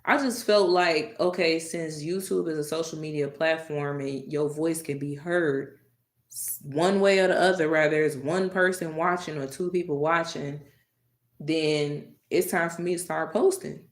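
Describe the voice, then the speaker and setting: A woman hosting a live stream, talking casually and directly to her chat, animated and exasperated with commenters. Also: low-pitched, measured pace, reverberant.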